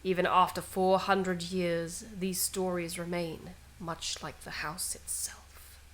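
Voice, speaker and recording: A woman streaming a game, chatting casually and reading aloud in a measured, dramatic way. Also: fairly low pitch, flat delivery, rough recording.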